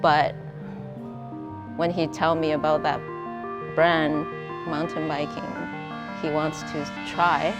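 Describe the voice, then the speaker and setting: Middle-aged woman, earnest, slightly lower voice, documentary interview with music underneath. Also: flat delivery, slow, pauses often. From China. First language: Chinese. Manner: soft-spoken, plain and earnest, with some grammar slips. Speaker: co-founder of a clothing brand.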